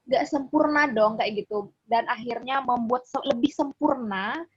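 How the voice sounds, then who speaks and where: A woman with a husky voice, teaching informally as a religious teacher and often checking that she is followed. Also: on the high side, unhurried, slight background noise. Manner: conversational, often checking for agreement. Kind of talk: informal explanatory online lesson. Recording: dull lo-fi audio.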